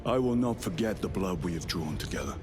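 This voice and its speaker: A stoic veteran warrior in a game cutscene, his voice on the low side. He is formal and earnest, a dutiful officer speaking over swelling music. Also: animated, fairly quick. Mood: solemn, heartfelt. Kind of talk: video game cinematic voice acting. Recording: cinematic music behind.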